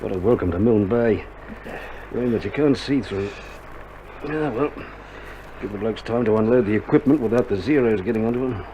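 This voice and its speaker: An actor playing a caring, no-nonsense Australian army medic in a radio drama, coaxing and grumbling, on an old vinyl recording. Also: male voice, on the low side, normal speed, pauses often, mellow, highs cut off, slight background noise, natural voice. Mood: weary grumbling. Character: caring, no-nonsense army medic soldier tending a sick mate.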